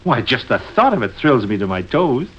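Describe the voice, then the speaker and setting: An actor with an American accent playing an excitable, pompous middle-aged father in a 1940s radio comedy. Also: mid-range voice, moderate pace, breathy edge. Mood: eager, boastful. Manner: pompous, florid wording. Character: excitable, pompous, easily exasperated small-town father. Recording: muffled old radio recording.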